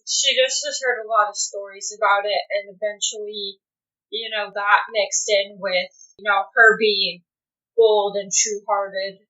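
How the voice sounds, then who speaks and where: A woman with a bright, clear-edged voice at a medium pitch, chatting as a podcast co-host. She talks informally and a bit tentatively, working out her thoughts as she goes. The sound is slightly dull and lo-fi. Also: steady tone, a little slow. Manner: tentative, informal, many "like" hedges. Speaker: podcast co-host and game player. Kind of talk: casual unscripted chat.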